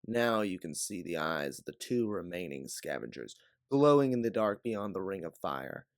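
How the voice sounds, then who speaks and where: A man reading scripted second-person story narration as an audiobook narrator, in a dramatic, deliberate way. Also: low-pitched, big pitch swings, no echo, studio quality.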